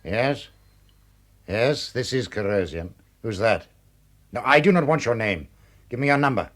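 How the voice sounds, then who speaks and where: An actor playing a cold, commanding criminal mastermind in a radio drama, curt and imperious. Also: male voice, medium pitch, animated, a little slow, natural voice. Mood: curt, impatient.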